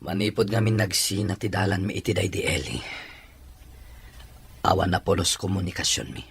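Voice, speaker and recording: A man's voice: deep voice, little pitch movement, normal speed, a bit bright, slightly rough, heavily acted. A man playing a troubled, earnest father searching for a lost child in an audio drama. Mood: sad, resolute.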